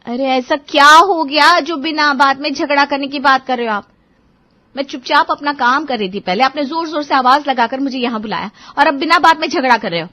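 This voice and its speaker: A woman's voice: high-pitched, brisk, sharp tone, pitched above the performer's own voice. A woman voicing a defensive young newlywed wife. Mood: indignant. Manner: defensive, reasoning.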